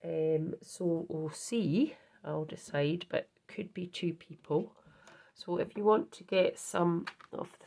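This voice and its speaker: A woman with a hoarse voice from a cold, chatting casually on her craft vlog and showing her project, with many ums and restarts. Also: on the low side, slow pace, warm tone. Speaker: craft channel host.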